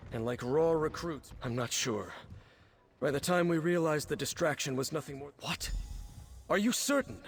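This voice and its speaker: Stern, higher-voiced military captain. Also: male voice, slight background noise. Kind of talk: video game voice acting.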